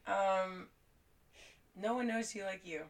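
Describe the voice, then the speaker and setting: A woman's voice: medium pitch, steady tone, slow pace. A young woman with an Oklahoma accent co-hosting a podcast, sounding stuffy from allergies, blunt and swearing often. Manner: blunt, profane, laughs a lot. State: congested from allergies. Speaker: podcast co-host. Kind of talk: casual chat.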